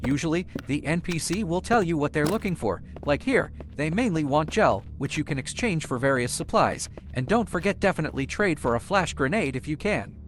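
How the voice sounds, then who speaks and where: A man's voice: fairly high pitch, animated, a little fast, hardly any pauses. A man giving a chatty, informal, playfully boastful video game walkthrough commentary, talking casually to viewers.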